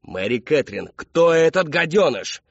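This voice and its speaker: A man's voice: low-pitched, steady tone, measured pace, darkish tone, no echo, dull audio. A man voicing a furious, domineering middle-aged father in an audiobook, barking accusing demands. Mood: enraged.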